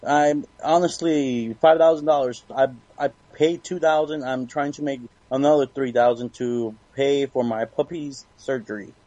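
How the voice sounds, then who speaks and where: Guy doing prank calls, softish mellow voice, dry lo-fi voice chat sound. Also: medium pitch, fairly even intonation, moderate pace, few pauses, dry recording. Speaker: prank caller on a call show. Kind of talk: casual crude prank-call banter. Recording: muffled lo-fi voice chat.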